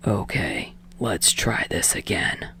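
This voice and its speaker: A woman voicing a weary, exasperated male film director in a low, flat, hushed delivery for an audiobook story. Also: slow, gravelly, slightly rough, dry recording, heavily acted.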